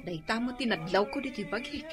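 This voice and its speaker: Radio drama: actress as sleep-starved, grumbling Ilocano woman, expressive pitch, faint music underneath. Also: a little fast, close to an everyday voice. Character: weary, grumbling neighbour woman short of sleep.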